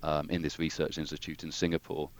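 A man's voice: very deep voice, little pitch movement, fairly quick. A man, a guest engineer, talking through his career in a hesitant, thoughtful way with many restarts. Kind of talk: casual interview conversation.

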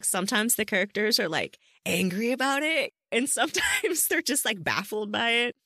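A woman's voice: a little high, big pitch swings, normal speed, bright, forceful tone, no echo, studio quality. A woman interviewing in a casual, chatty way, effusive and giggly with enthusiasm. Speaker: podcast interviewer.

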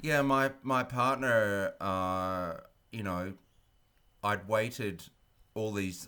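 Deep-voiced aussie guy in his 50s, nasal and stuffed up, wry and chatty. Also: a bit bright, clear, resonant tone. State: nasal, congested from illness. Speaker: podcast co-host. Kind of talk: casual conversational interview.

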